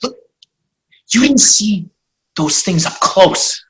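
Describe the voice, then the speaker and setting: A woman voicing a gloomy, deadpan teenage boy at a table read. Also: medium pitch, rough recording. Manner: slow, deadpan.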